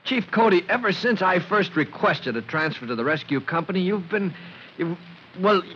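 Young radio-serial fireman, eager, highish animated voice, hissy old recording. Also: normal speed. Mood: hesitant, respectful. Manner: respectful, hesitant. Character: earnest, deferential young male fireman. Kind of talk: 1940s radio drama acting. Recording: noisy, dull vintage radio recording.

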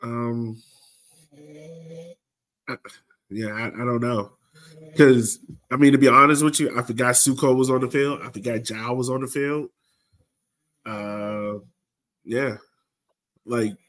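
A man's voice: mid-range voice, steady tone, slow, pauses often, fairly bright tone. A man co-hosting a sports fan podcast, talking casually and informally in an exasperated, searching way. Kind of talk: casual soccer fan discussion.

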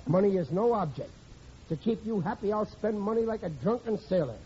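An actor playing a soft-hearted, flustered working-class American married man in an old radio comedy, coaxing and pleading with stammers. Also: sits high, animated, a little fast. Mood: reassuring, exasperated. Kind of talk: 1940s-50s radio comedy acting. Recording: dull, noisy old radio recording with audience.

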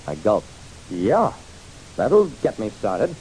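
Animated hard-boiled radio detective. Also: male voice, fairly low pitch, slow, full character voice, poor audio. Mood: reflective. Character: hard-boiled male private detective narrating his casebook. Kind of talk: 1940s-50s radio detective drama narration. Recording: hissy old radio recording.

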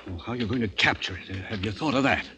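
An actor playing a stern, practical friend of a scientist, forceful and earnest in 1950s radio drama style. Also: male voice, lowish voice, fast, background noise, close to an everyday voice. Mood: grim resolve.

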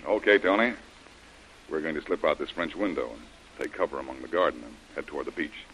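An American actor playing a tough, commanding adventurer in an old-time radio drama, giving brisk, impatient orders. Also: male voice, rumbling low, a bit bright, low-quality recording. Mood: resolute, businesslike. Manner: brisk, authoritative, clipped orders. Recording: old radio broadcast with faint noise.

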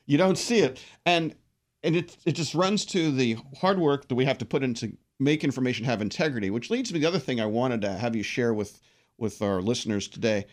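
A man's voice: mid-range voice, a little fast. A man hosting a business radio show, reflecting on his guest's answers and reading sponsor thanks. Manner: reflective, summarizing. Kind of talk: interview and sponsor reads.

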